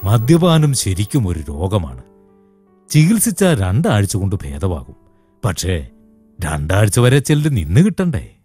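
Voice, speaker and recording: A man playing a kindly, unhurried priest with a gentle, conversational manner in a film drama. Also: lowish voice, sing-song, breathy edge, soft background music, heavily acted. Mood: explaining. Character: kindly, unhurried male priest running a rehab centre.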